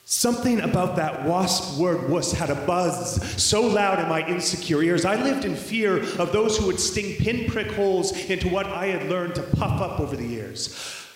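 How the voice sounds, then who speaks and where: A man's voice: high voice, lively intonation, moderate pace. A man performing spoken word poetry with a rhythmic, dramatic delivery in an echoey hall. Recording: echoey hall with long reverb.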